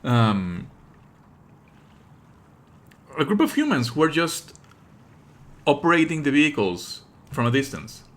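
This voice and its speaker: A man streaming live commentary, explaining in an opinionated, pedantic way with halting delivery and emphatic stresses. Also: a little high, very animated, fairly bright tone. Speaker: streamer and host.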